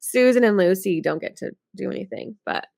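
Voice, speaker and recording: A woman's voice: medium pitch, fairly quick, gravelly, a little mellow, no room sound, clean studio sound. A woman chatting casually as a podcast co-host, with an informal, giggly manner full of filler words. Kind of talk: casual chat.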